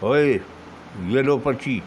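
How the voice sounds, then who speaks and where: A man's voice: mid-range voice, takes it slowly, a little mellow, slight background noise, lightly acted. A man voicing a bullying young party activist who makes curt, threatening demands in a told story. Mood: bullying, demanding. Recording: music behind the voice.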